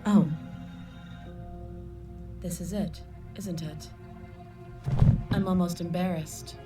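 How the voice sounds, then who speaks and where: A menacing princess in a game, slow, low voice, distant game audio with music. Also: female voice. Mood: mocking. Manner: slow, mocking, menacing calm. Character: cold, arrogant dying princess in a game.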